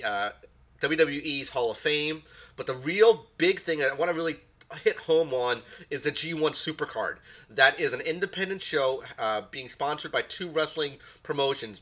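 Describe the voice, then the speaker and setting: A man's voice: medium pitch, pushed and bright. A man hosting a live stream in casual chat, recovering from a cold, rambling with repeated words and greeting viewers. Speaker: stream host.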